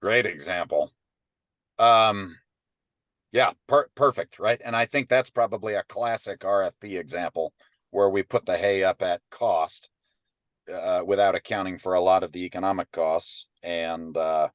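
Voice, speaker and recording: A man with a fairly low voice leads an online teaching webinar over a computer microphone. He explains in a loose, conversational way, restarting phrases and checking in with his listeners. The sound is close and dry, with almost no room around it. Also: a little slow, no echo. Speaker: webinar presenter and ranch business advisor. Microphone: computer microphone on an online webinar call.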